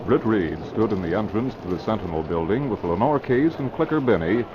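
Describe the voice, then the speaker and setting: A man's voice: on the low side, lively intonation, fairly quick, background noise, close to an everyday voice. A man narrating a vintage radio crime serial in scripted, storytelling style. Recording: muffled old radio recording.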